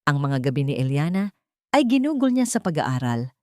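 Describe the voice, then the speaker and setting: Soft-toned woman narrating a low drama story. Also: big pitch swings, moderate pace, no echo, clean studio sound.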